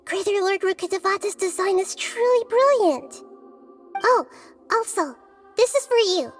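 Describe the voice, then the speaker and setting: Wise little girl goddess in a game, high-pitched, gentle, calm, sincere, measured articulate delivery, faint music underneath. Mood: helpful. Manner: measured, earnest, articulate explaining. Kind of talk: video game voice acting.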